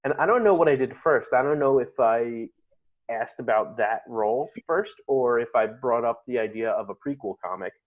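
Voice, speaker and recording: A man's voice: medium pitch, normal speed. Easygoing man on a muffled line. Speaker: guest, actor and comic artist. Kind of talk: casual reunion chat.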